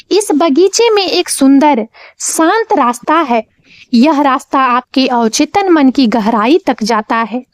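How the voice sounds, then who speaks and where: A woman narrating a scripted guided meditation, with measured, soothing instructions. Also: high-pitched, dry recording.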